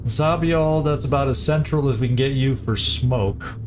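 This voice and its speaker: A man's voice: medium pitch, normal speed, noisy background. A man commentating a strategy game, thinking aloud with hesitant restarts as he plans each move. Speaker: gameplay commentator. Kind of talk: casual let's-play commentary. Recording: game music playing behind.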